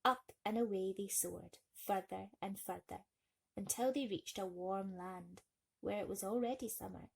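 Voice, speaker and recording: A woman's voice: mid-range voice, unhurried, gravelly, a little hiss or hum. A woman storyteller narrating a bedtime story expressively for children.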